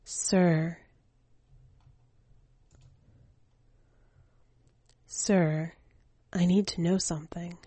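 A woman voicing a sly, coaxing female skeleton spirit in an audio story, in a hushed manner. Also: fairly low pitch, fairly even intonation, slow pace, breathy edge, no room sound.

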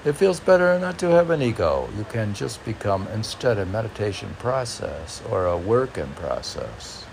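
A man reading poetry and commenting on it informally in a halting, musing, self-questioning manner. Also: lowish voice, sing-song, vocal fry, a little hiss or hum. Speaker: poet reading and commenting on own poems.

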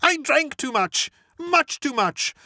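As a reader puts him on, a young aristocrat on his first spree chirps in a high, breathless voice. He bounces wildly up and down in pitch. The tone is bright and the sound is dry and close. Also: expressive, takes it slowly, no echo, heavily acted. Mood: exuberant. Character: giddy young male aristocrat, cheerfully dissolute.

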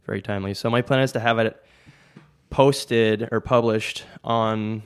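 This is a young man with a fairly low voice and some gravel in it, co-hosting a casual podcast. He talks about life as a gym coach and rambles along, filling his sentences with "like". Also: fairly even intonation, unhurried. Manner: rambling, lots of filler "like". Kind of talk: casual chat.

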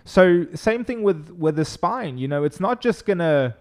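Afrikaans-speaking man, upbeat and higher-pitched. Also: animated, measured pace. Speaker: chiropractor guest. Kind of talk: casual podcast interview.

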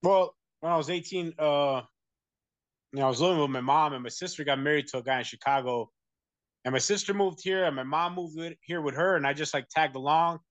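Male interview guest, American, rambling casual delivery, fairly high voice. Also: fairly quick, fairly bright tone, dry recording. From United States. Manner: rambling, many fillers and restarts. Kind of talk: casual conversation.

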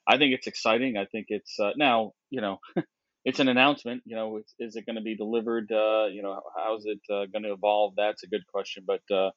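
A fairly low-voiced man gives eager, opinionated takes on a tech podcast. His remote mic sounds dull and lo-fi, with a dry, close tone. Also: level tone, fairly quick, fairly bright tone, no room sound. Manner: enthusiastic, opinionated, peppered with "you know". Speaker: podcast co-host. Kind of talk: casual tech podcast chat.

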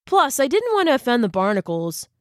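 A friendly male hermit crab from a children's story, voiced by a woman. The talk is casual and easygoing, like a relaxed dude. The recording is dry. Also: high voice, fairly quick, no echo, pitched above the performer's own voice. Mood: apologetic.

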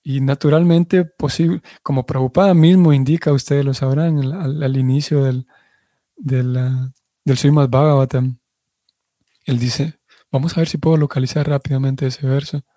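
A man giving a religious class, explaining things in a measured way and often restarting his sentences as he searches for words. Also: mid-range voice, fairly even intonation, warm tone, no echo. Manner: explanatory, restarts and self-corrections. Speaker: devotional teacher.

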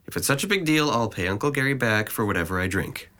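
A man's voice: lowish voice, lively intonation, fast talker, bright, forceful tone. A man playing a depressed, sardonic twenty-one-year-old in an audio drama, with a dry, articulate delivery. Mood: dry, defensive. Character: sardonic, depressed young man, resentful and withdrawn.

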